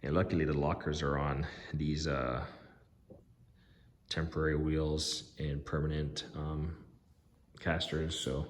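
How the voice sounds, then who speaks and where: A man with a very low, deep voice talks loosely through his plans, pausing on ums and restarting his sentences. His voice is hoarse and fading. The phone recording has roomy garage echo and a faint hiss behind it. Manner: halting, lots of ums and restarts. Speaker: DIY garage vlogger. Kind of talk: casual rambling walkthrough. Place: home garage. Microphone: handheld phone camera.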